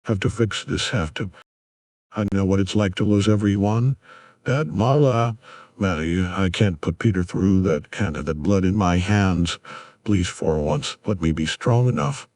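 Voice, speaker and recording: Gruff, anguished older editor, male actor, low register, dry studio-close sound, comic dub. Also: a little slow, a little mellow, no room sound. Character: guilt-ridden, gruff older male newspaper boss.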